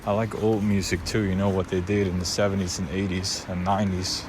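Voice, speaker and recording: Young Swiss man, Swiss German accent, slightly low voice, chatty vlogger, noisy car recording. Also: little pitch movement, fairly quick. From Switzerland. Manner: loose, unscripted, many fillers and restarts. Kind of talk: casual rambling monologue.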